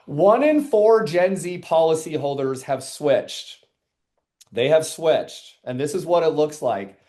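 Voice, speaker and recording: A man in his forties presenting statistics in a lively webinar and bantering with co-hosts, asking the audience questions. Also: fairly high pitch, takes it slowly. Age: 40s. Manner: energetic, asks the audience questions.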